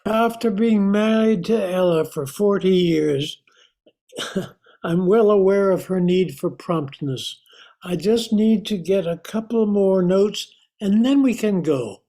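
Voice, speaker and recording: A man's voice: sits high, takes it slowly, mellow. A man acting a kindly scientist in his mid-sixties, mild, polite and hesitant, in an amateur radio drama. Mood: amiable.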